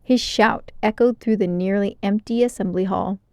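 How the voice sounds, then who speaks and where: Expressive woman storytime narrator, dry sound. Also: mid-range voice, moderate pace, no room sound. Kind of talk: expressive children's audiobook narration.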